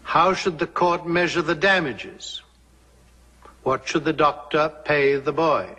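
An actor playing an exacting older law professor in a film, lecturing formally and putting pointed questions in a reverberant hall. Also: male voice, fairly high pitch, slow, sharp tone, husky, full character voice. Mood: stern, measured.

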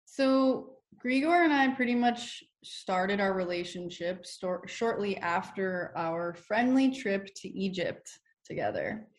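A woman's voice: mid-range voice, animated, slow pace. A woman telling a story about her travels in an enthusiastic, rambling way during a casual conversation. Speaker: guest, brand co-founder.

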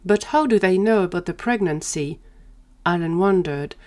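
Slightly low-voiced woman narrating a story. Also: moderate pace, a little mellow. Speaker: narrator of an audio drama.

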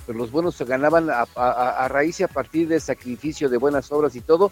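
A man's voice: medium pitch, fast, faint music behind. A man with a Mexican accent co-hosting a radio show, speaking reflectively in a hesitant, wordy and somewhat formal way.

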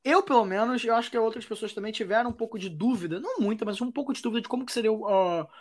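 A woman's voice: a little high, very fast, a little mellow. A Brazilian woman hosting a podcast, chatting about football in a loose, wry way with many restarts. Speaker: podcast host. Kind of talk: casual football chat.

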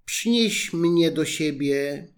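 A man's voice: a little high, expressive, slow, pitched above the performer's own voice. A man voicing a persistent female talking frog in a fairy tale, coaxing and pleading.

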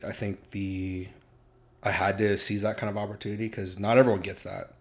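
A young man chatting casually as a podcast guest, relaxed and halting, with many fillers and restarts. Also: on the low side, creaky. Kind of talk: casual chat.

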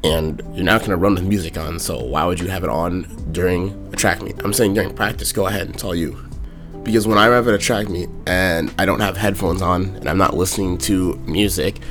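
A young man vlogging casually about his warm-up habits, talking in a monotone, raspy voice with a sore throat. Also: low voice, brisk, over music. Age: young adult. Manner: talks in loose runs with fillers. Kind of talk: casual ranting vlog.

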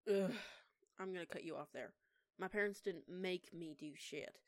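A young woman acts out an eighteen-year-old lone-wolf werewolf with a punk streak for an audio roleplay. Her voice sits a little low for a woman and is captured very dry. She talks casually and sarcastically, swears freely, and has a prickly, defiant temper. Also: dry recording, lightly acted. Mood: irritated, defensive. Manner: sweary, casual, sarcastic, halting when flustered. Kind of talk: intimate one-sided audio roleplay acting.

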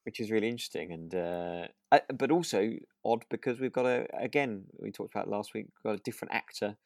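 A man with a creaky, gravelly voice co-hosts a casual podcast. He sounds agreeable and rambles a little, hesitating as he goes. The sound is very dry, with no room around it. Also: fairly low pitch, fairly quick, darkish tone, no echo. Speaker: podcast co-host. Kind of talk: relaxed casual chat.

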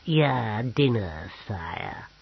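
A man voicing sly, sinister creatures called mools in a storybook reading, in a slow, breathy, gravelly murmur. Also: fairly low pitch, very animated, dull audio, full character voice.

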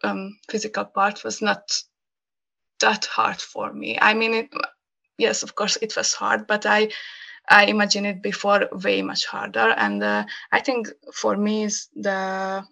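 A young Hungarian woman speaking English as a guest, talking casually with many pauses and fillers. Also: mid-range voice, creaky, sharp tone. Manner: hesitant, many fillers, laughs often. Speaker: podcast guest. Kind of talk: casual interview conversation.

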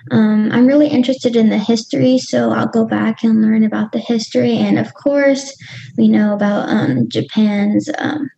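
A woman's voice: a little high, creaky, dark tone, muffled recording. A young woman talking casually as a podcast guest, hesitant and full of ums and restarts. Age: young adult. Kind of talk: casual interview talk.

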